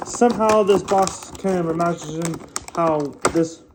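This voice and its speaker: Man, casual toy unboxing review, rambling and stumbling, slightly high pitch, faint background hiss. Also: takes it slowly. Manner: rambling, stumbles over words and restarts often.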